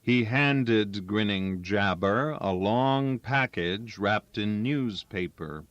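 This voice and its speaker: A man's voice: on the low side, slow pace, fairly bright tone, dry recording. A man reading a story aloud as scripted audiobook narration. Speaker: audiobook reader. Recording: dull, lo-fi, dry recording.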